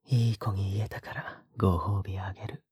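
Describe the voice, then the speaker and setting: An actor playing a possessive, doting young man in an intimate audio drama, murmuring in a soft, coaxing, teasing whisper. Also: sits low, flat delivery, moderate pace, slight background noise, lightly acted.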